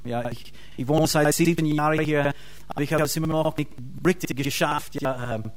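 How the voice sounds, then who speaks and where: American pastor, non-native speaker, halting sermon delivery, fervent, voice sitting a little high. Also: male voice. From United States. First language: English. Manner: halting, searching for words, many fillers and restarts; fervent bursts of praise. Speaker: pastor and Bible school teacher.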